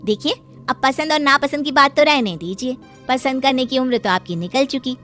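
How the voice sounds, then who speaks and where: A woman's voice: high voice, moderate pace, a bit bright, soft background music. A woman voicing a meddling, needling female relative in a moral-story cartoon. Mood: taunting. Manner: pointed, needling. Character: meddling, taunting women of family and neighbourhood.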